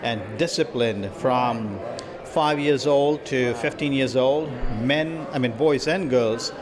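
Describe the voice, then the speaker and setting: A middle-aged man speaks earnestly, building a story step by step in an interview. His voice is mid-pitched, with a busy crowd chattering around him. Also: slow pace. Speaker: film producer interviewee. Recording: crowd noise behind.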